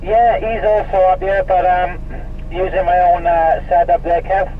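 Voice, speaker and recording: A man's voice: high-pitched, little pitch movement, normal speed. A man casually checking in over CB radio, using informal radio procedure phrases. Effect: CB radio transmission. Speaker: CB radio operator. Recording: muffled CB radio with clear noise.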